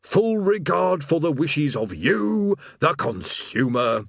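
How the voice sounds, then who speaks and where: A put-upon demon gatekeeper, acted by a man, grumbling and mournful in a raspy rumble. The pitch lifts and swoops widely, and the sound is dry and close, as in an audiobook. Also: big pitch swings, takes it slowly, no room sound, full character voice. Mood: stiffly reciting. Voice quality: rasping, rumbling. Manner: plodding recited customer-service patter breaking into chatty working-class grumbling.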